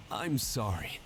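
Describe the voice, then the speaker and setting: A man plays an amused, gloating god in a visual novel game. He is theatrical and mock-apologetic, his pitch swinging widely. Music is clearly heard beneath him.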